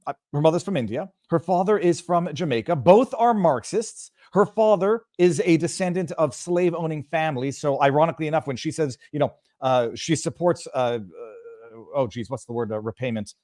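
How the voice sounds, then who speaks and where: A man's voice: on the high side, expressive, normal speed, a little mellow, dry recording, studio quality. A man from Montreal, giving emphatic, indignant political commentary on a remote call, often stumbling and restarting. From Montreal, Canada. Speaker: guest commentator.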